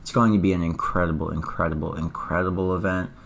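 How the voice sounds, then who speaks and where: A hoarse man from Atlanta, talking casually to camera as an esports news vlogger, eager and fond of repeating words for emphasis. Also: low voice, steady tone, fast, a bit bright. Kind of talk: casual vlog talk to camera.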